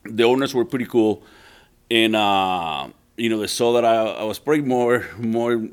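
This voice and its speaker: A middle-aged man from Puerto Rico, a chef and entrepreneur, telling his story in a relaxed, rambling way full of "uh" and "you know". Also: lowish voice, moderate pace, smooth. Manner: rambling, many "uh" and "you know" fillers and repeated words.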